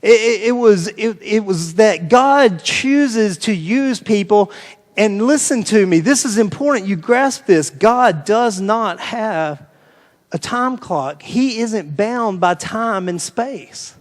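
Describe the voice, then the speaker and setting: Casting: male American pastor, high-set voice, informal sermon delivery with emphatic repetition, recorded in a reverberant hall. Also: animated, slow. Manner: informal, repeats phrases for emphasis. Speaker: pastor preaching to a congregation. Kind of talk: conversational sermon with scripture reading. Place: large reverberant room, preaching live.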